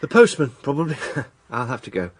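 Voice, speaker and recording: A man's voice: medium pitch, very animated, fairly quick, no room sound. A man acting in a radio drama as a gallant, proud barrister with a polished, assertive manner. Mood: charming, eager. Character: confident, gallant bachelor barrister, impulsive and proud.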